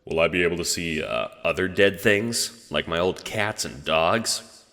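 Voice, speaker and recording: Audio drama lead: deep, mild, curious newcomer to the afterlife voiced by a man, hesitant, in long reverb. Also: unhurried, pushed and bright, heavily acted. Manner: hesitant, questioning. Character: newly dead player, curious, mild, hesitant.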